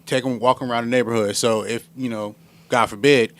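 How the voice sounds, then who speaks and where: A man's voice: medium pitch, steady tone, a little fast, a bit bright, dry recording. An American man co-hosting a podcast in casual, blunt, slangy chat with plenty of swearing. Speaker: podcast co-host.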